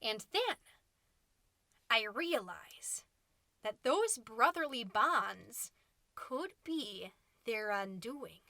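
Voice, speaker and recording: A woman's voice: on the high side, sing-song, very slow, gravelly, pauses often, sharp tone, no echo, full character voice. A woman playing a catty, indignant mom who hosts a podcast, delivering a sarcastic, melodramatic comedic monologue. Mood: dramatic, scheming. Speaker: podcast host.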